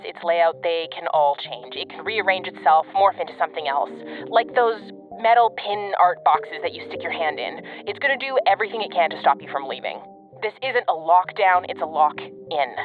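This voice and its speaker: An actress playing a cynical, impatient veteran government agent in an audio drama, clipped and commanding, heard through a radio communicator. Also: female voice, lowish voice, narrow, tinny audio. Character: brusque, cynical, foul-mouthed government field agent woman, impatient veteran. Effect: radio communicator filter. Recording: band-limited radio link, music underneath.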